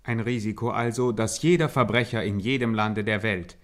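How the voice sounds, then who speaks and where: An actor playing a formal co-narrator in a 1950s radio play, measured and dryly ironic. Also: male voice, fairly low pitch, fairly even intonation, natural voice. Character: dry, formal male co-narrator of crime satire. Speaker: second narrator.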